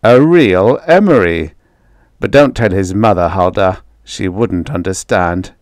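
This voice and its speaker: A man playing a domineering father in a story, harsh, forceful and impatient. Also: low voice, expressive, measured pace, dry recording, studio quality. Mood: pleased. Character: stern, angry, domineering father and robot maker.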